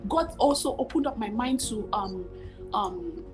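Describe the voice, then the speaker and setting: A woman in her thirties with a Nigerian accent, telling her story as a guest over a video call, expressive and repeating phrases for emphasis. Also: on the high side, a little slow, music in the background. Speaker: guest, cooking show host. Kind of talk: animated storytelling interview. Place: home room with curtains, video call.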